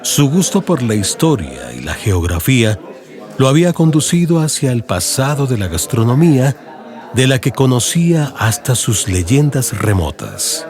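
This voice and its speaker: A man with a Colombian accent narrating a literary radio drama audiobook in a measured storytelling delivery, with music behind him. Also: mid-range voice, big pitch swings, darkish tone. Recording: music bed under narration.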